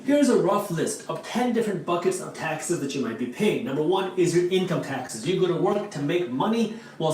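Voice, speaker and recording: A man who is an attorney, whose first language is Punjabi, lays out a scripted explainer in a clear, structured way. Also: on the high side, darkish tone, recorded from a distance. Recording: echoey audio replayed from afar.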